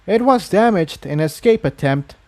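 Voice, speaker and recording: Man voicing a high-pitched, jumpy refugee mare. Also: expressive, normal speed, a little mellow. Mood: curt. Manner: curt, apologetic. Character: guarded, jumpy mare unicorn refugee, apologetic.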